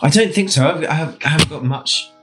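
A man's voice: a little high, quick pace, a bit bright, faint music behind. A man chatting casually as a podcast guest, hesitant, easygoing and agreeable. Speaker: podcast guest, band member. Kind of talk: casual chat.

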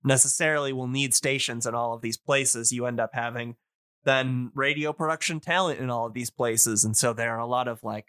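Bright, clear-voiced male podcaster. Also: medium pitch, fairly even intonation, a little fast, dry recording. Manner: rambling, hesitant word-searching. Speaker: podcast co-host. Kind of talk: casual podcast chat about old-time radio.

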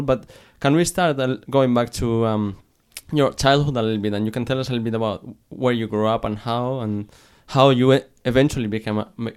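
Male interviewer, smooth clear voice, friendly, questions broken by fillers. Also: medium pitch, darkish tone. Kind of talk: interview conversation.

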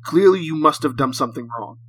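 Guy acting haunted man with a gun, measured but hesitant, dark audio drama, noisy background. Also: a little high, animated. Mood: insistent. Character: bereaved, hesitant man with a gun, seeking a kill.

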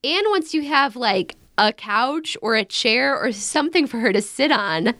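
An actress playing an outspoken, wisecracking young American elder sister in a naturalistic modern audio drama, with blunt, casual banter. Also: female voice, on the high side, expressive, a little slow, pushed and bright, dry recording, studio quality, full character voice. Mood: breezy, teasing. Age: young adult.

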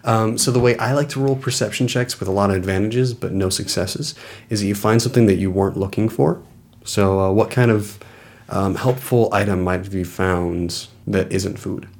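A man's voice: lowish voice, normal speed, darkish tone. A man narrating a tabletop roleplaying game as game master in a casual, improvised way.

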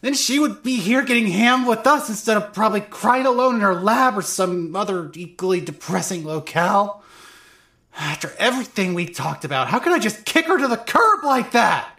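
Woman acting a bitter, rambling young woman, swinging pitch. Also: animated, normal speed, a bit bright, breathy edge. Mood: distraught, self-reproaching. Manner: rambling, sweary, self-deprecating. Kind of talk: fandub audio drama, first-person monologue.

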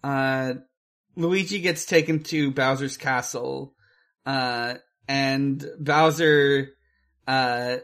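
A podcast co-host casually discussing a film in a halting, hesitant way, with casual swearing. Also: medium pitch, fairly even intonation, a bit bright.

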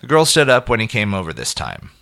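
A man narrating a scripted short story in an expressive, measured way with clipped phrases. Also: fairly low pitch, vocal fry.

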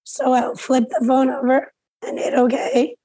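A hoarse woman teaching a class over a video call, explaining each step aloud and often clearing her throat. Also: sits high, completely flat delivery, a little slow, mellow, low-quality recording. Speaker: instructor.

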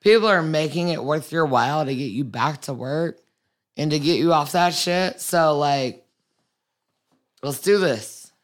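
A woman with a husky voice in casual podcast chat, blunt and swearing freely, with many "like" fillers. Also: sits low, unhurried.

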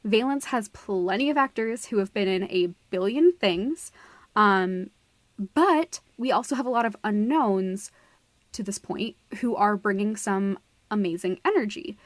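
A woman's voice: medium pitch, a little slow, no echo. A woman co-hosting a podcast, giving her opinions casually in a frank, emphatic way. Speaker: podcast co-host.

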